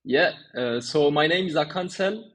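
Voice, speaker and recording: A man's voice: fairly high pitch, lively intonation, moderate pace, a bit bright. A man, answering interview questions in a conversational way. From Turkey and France. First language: Turkish and French. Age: 20s. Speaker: interview guest, small agency owner.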